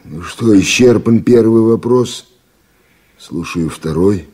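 A cold, bitter general. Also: male voice, fairly low pitch, slow, warm tone. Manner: curt commanding orders, bitter sarcasm. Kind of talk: archival radio play acting.

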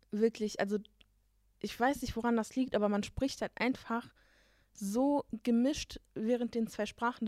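A woman's voice: a little high, a little mellow, no echo, studio quality. A young woman co-hosting a podcast, talking in a casual way with lots of fillers and gestures. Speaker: podcast co-host. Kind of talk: casual chat.

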